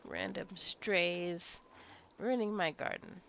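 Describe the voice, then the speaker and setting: A woman's voice: fairly low pitch, very animated, takes it slowly, vocal fry, a bit bright. A woman giving casual, unscripted gameplay commentary, rambling and thinking aloud as she plays. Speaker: gaming video creator. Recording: clicking and faint background noise.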